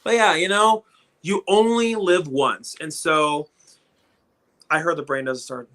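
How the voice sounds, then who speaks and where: A man's voice: fairly high pitch, fairly even intonation, a little slow, fairly bright tone. A man in his thirties chatting casually on a birthday livestream, rambling and stumbling over his first words. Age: 30s. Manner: rambling, repeats words when starting sentences. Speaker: livestream host.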